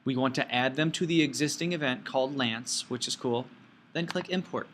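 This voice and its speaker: Bright-voiced man teaching a tutorial. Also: medium pitch, moderate pace, slight background noise. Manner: folksy, playful asides and sound words. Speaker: teacher giving a software tutorial. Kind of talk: informal step-by-step screencast instruction.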